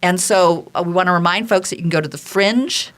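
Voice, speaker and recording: A woman's voice: lowish voice, a little fast. A woman hosting a live radio talk show, chatting conversationally from the studio.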